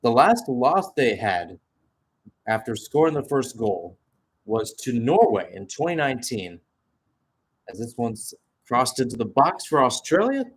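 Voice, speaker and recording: A man's voice: medium pitch, big pitch swings, unhurried. Man calling live soccer play-by-play. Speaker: radio play-by-play commentator.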